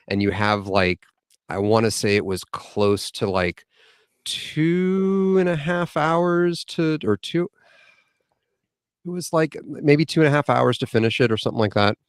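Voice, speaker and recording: Chatty man in his forties, low voice. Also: expressive, normal speed, clean tone, no room sound, clean studio sound. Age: 40s. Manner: chatty, detailed storyteller who backtracks mid-story. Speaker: podcast guest. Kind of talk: casual storytelling.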